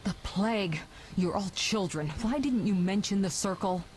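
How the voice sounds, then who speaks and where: A woman playing a headstrong, sharp-tongued young sorceress in a video game, assertive in manner. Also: mid-range voice, close to an everyday voice. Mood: exasperated. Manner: assertive, brisk retorts. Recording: game audio with faint background noise.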